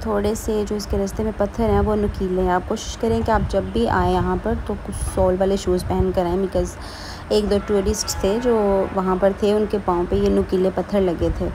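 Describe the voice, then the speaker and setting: A woman's voice: mid-range voice, noisy background. A woman narrating a casual travel vlog, chatty and keen to recommend places to her viewers. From Pakistan. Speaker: travel vlogger.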